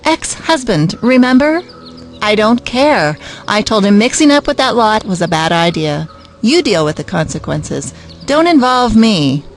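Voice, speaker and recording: A woman's voice: medium pitch, sing-song, unhurried, slight background noise. A woman playing a bitter, brusque, uncaring mother with a curt, dismissive manner in an audio drama.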